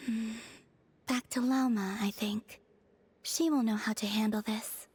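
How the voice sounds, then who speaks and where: A woman playing an ancient, gentle moon goddess in a video game, soft, measured and reflective. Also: on the high side, steady tone, breathy edge. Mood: calm, helpful. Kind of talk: video game character acting.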